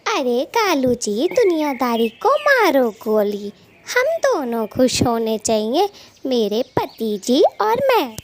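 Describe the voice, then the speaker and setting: A woman's voice: high-pitched, big pitch swings, slow, a little hiss or hum, full character voice. A woman voicing a gossipy, scheming female bird thief who dotes on her husband, in a dramatic, exclamatory children's cartoon manner. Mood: doting. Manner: dramatic, exclamatory, scolding and fawning. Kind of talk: Hindi children's cartoon dialogue.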